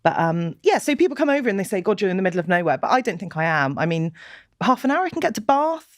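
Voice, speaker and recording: A woman's voice: medium pitch, big pitch swings, quick pace, dry recording, studio quality. A woman guest on a podcast, chatting casually and laughing at herself often. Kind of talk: casual chat.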